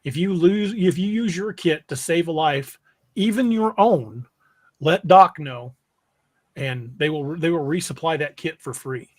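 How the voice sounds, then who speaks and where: Higher-voiced man hosting a casual livestream. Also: measured pace. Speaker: podcast host.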